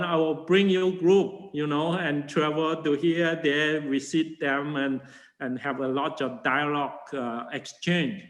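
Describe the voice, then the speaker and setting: An older man, a Buddhist monk teaching a class in halting full of fillers and restarts. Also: on the high side, roomy sound, rough recording. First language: Chinese. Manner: halting non-native English with many fillers and restarts. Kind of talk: informal dharma class talk.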